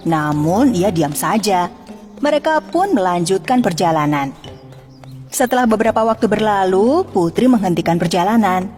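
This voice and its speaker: A woman's voice: mid-range voice, expressive, moderate pace, darkish tone, in a large hall, faint music behind. A woman storyteller giving scripted narration of a children's fairy tale.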